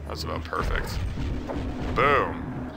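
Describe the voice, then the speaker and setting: A young man with a slightly low voice talks through his gameplay in a loose, joking way, sounding a little congested. Loud game music plays behind him the whole time. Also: expressive, slow pace, gravelly. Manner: joking, self-talking. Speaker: let's play commentator. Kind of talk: casual gameplay commentary.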